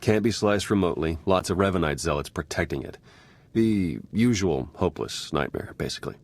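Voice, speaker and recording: Game spy: very low voice, dry, sardonic, battered but dependable, natural delivery. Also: male voice, lively intonation, fairly quick. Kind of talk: natural video game dialogue acting.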